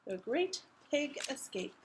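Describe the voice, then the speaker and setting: A woman's voice: high-pitched, sing-song, slow pace, background noise. A woman reading picture books aloud to toddlers as a story-time host, with simple, emphatic, sing-song phrasing. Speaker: children's library story-time reader. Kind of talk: animated picture-book read-aloud for toddlers.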